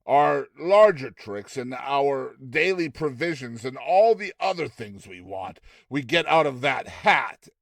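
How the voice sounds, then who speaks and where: A man's voice: mid-range voice, lively intonation, takes it slowly, creaky, few pauses, no room sound, studio quality. A man voicing an affable, uncanny shopkeeper and conjurer in a storybook reading, courteous and emphatic in a theatrical way. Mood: sly, affable.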